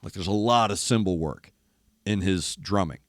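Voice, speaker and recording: An older man sits close to a dry, dead-sounding mic, his low voice gravelly and worn from losing it. He breaks down drum parts with emphatic, animated commentary, thumping out beats with his mouth. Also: moderate pace, no room sound. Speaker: host of a music reaction show. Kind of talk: casual music commentary. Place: studio in east Nashville.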